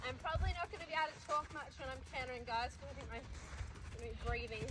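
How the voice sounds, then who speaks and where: A woman's voice: high voice, rapid-fire, background noise. A woman with a congested voice, explaining her training to viewers while she rides. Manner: talking to viewers while riding. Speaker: riding instructor. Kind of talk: coaching while riding.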